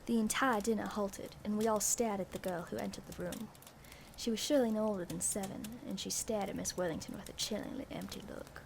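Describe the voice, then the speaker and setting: A woman playing a wry, suspicious young journalist who narrates an amateur radio mystery in a deliberate, dry way. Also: mid-range voice, fairly even intonation, vocal fry, lightly acted. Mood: wry, brooding. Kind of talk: scripted first-person mystery narration. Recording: faintly noisy home recording.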